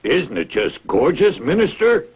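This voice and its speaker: A man voicing a sly, obsequious swindler posing as a weaver in a fairy tale, gravelly and raspy, with insinuating deference. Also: fairly high pitch, wildly swooping pitch, measured pace, darkish tone, muffled recording, full character voice. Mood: gushing. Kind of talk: satirical fairy tale dramatization.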